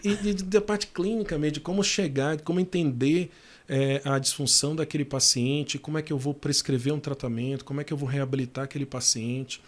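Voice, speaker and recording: Relaxed man telling his story. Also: a little high, brisk. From Salvador, Bahia, Brazil. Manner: relaxed storytelling with frequent fillers and restarts. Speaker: guest physiotherapist and professor. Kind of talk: casual interview conversation.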